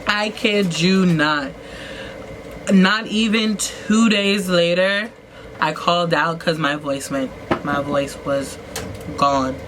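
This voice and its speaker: A woman talking casually as she gets ready, rambling with lots of "like"s and restarts and jumping up in pitch for emphasis. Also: slow, fairly bright tone. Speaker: vlogger. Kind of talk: casual storytelling while getting ready. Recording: noisy home recording.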